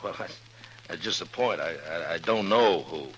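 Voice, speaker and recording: A man playing a plainspoken, steady, weary frontier marshal in a 1950s radio western. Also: low voice, moderate pace, a little hiss or hum, low-quality recording. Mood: casual, probing.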